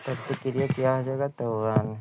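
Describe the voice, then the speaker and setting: A man with an Indian accent teaching an exam-prep lesson, reading out questions and answers haltingly and repeating phrases. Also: medium pitch, flat delivery, noisy background.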